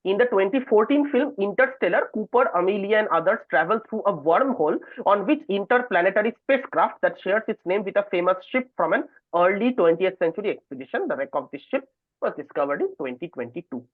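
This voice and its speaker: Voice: medium pitch, animated, fast talker, no echo. A quizmaster reading trivia questions aloud on an online call and ruling on the answers. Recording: thin phone-like online call audio.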